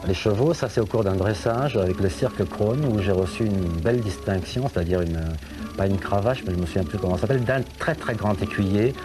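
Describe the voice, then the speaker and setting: A man's voice: lowish voice, brisk, music in the background. A middle-aged man from France, a singer guest on a TV show, telling anecdotes in a rambling way with many hesitations. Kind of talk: chatty interview talk.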